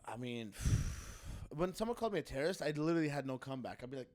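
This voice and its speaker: A man's voice: mid-range voice, sing-song, fast, a little mellow. Sweary man telling rambling stories. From Germany and the United States. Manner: rambling, sweary, acts out quoted lines. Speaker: podcast guest and amateur stand-up comic. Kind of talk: casual podcast chat with anecdotes.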